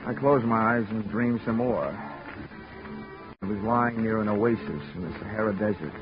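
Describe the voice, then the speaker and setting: A man's voice: fairly low pitch, normal speed, natural voice. A man playing a wisecracking, hard-boiled private eye narrating a 1940s radio drama. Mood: dreamy. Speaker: detective narrator. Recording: muffled old radio recording with music.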